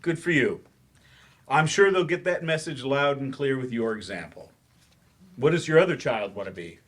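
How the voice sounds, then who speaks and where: A man's voice: mid-range voice, expressive, normal speed, close to an everyday voice. Man acting a wry, weary English teacher. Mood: reserved. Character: weary male writer turned remedial English teacher, wry and awkward. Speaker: actor in a staged play reading. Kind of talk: live stage play reading.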